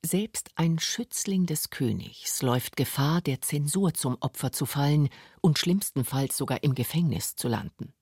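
A man's voice: a little high, lively intonation, a little slow, few pauses, a little mellow, slightly rough, no room sound. Dry studio male documentary narrator.

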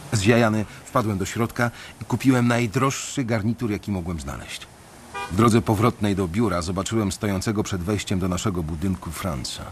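A young bank analyst tells his own story in measured, reflective first-person narration, voiced by a man in a radio play. His voice sits low, with a faint ambient hush behind it. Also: slight background noise, lightly acted.

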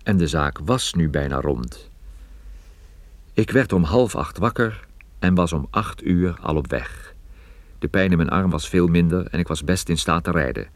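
Private detective narrator, deep voice, measured storytelling, radio play, dry studio sound. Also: male voice, lively intonation, no room sound. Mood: calm suspense. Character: male private detective recounting his case, cool and observant.

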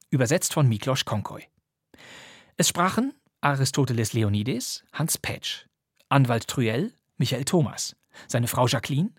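A man's voice: mid-range voice, slightly rough, no room sound, clean studio sound. A man reading scripted radio end credits as an announcer.

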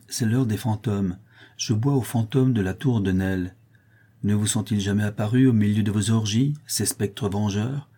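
Young male scholar, scornful and declamatory, husky and low, read by a male narrator. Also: mellow. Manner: declamatory, accusing.

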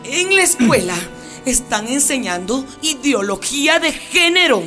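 An actress playing a prim, sanctimonious mother who speaks in a scandalized, halting way in a radio drama. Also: high-pitched, sing-song, over music. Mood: alarmed, scandalized.

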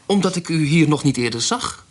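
An actor playing a fawning town magistrate in a radio drama, formal, gallant and unctuous. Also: male voice, fairly high pitch, expressive, brisk, lightly acted. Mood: curious, flattering. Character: fawning small-town magistrate, flattering a young widow.

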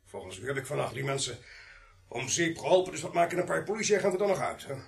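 A man's voice: fairly high pitch, sing-song, fast talker, gravelly. An actor in a Dutch radio play playing a menacing gunman who commands with sardonic courtesy. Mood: threatening, mocking. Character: menacing gunman holding policemen hostage, mockingly polite.

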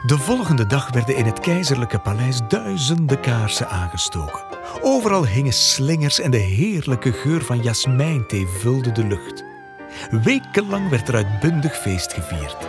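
A man narrating a scripted fairy tale as a storyteller, with a husky, breathy voice. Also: medium pitch, sing-song, a little slow. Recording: dry, close, faint music behind.

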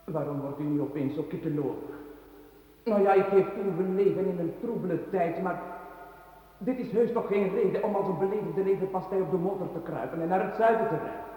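Guy doing a teasing joker in a radio play, voice a bit high, loves silly wordplay. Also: fairly quick, echoey room, phone-quality sound, lightly acted. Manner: teasing, playful, absurd banter. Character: mocking absurd man named Janssens.

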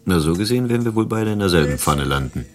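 Deep, lively male confidant. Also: fast talker, soft background music. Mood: teasing, concerned. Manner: casual, teasing. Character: easygoing male confidant, teasing but concerned. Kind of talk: German radio crime drama acting.

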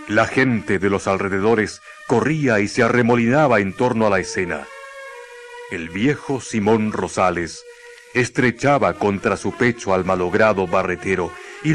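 Chilean male narrator for radio drama: lowish voice, solemn literary storytelling, light music underneath.

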